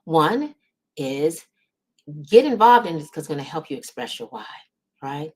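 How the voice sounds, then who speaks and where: A woman's voice: low voice, sing-song, moderate pace, low-quality recording. A woman host speaking as a business coach in an encouraging, emphatic way, often checking in with the listener. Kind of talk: motivational coaching talk to camera.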